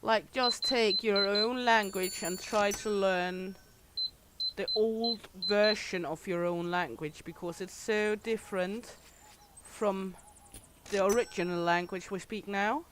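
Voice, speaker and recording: A woman's voice: mid-range voice, takes it slowly, noisy background. Danish woman chatting on a stream. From Denmark. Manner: chatty, candid, reads and answers chat aloud. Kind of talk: casual livestream chat while gaming.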